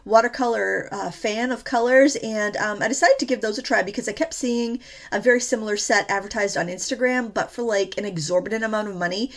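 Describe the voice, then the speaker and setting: Chatty woman with a stuffy, gravelly voice. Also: mid-range voice. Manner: chatty, rambling, many fillers. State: slightly stuffy from a cold. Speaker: art tutorial host. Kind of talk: casual painting tutorial voice-over.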